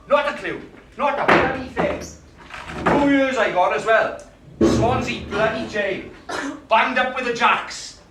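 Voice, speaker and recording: An actor playing a volatile, violent jilted man. He swears heavily and swings from hushed confiding to roaring rage. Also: sits high, heavy background noise. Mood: rueful. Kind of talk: theatrical monologue. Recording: echoey room, distant mic, music behind.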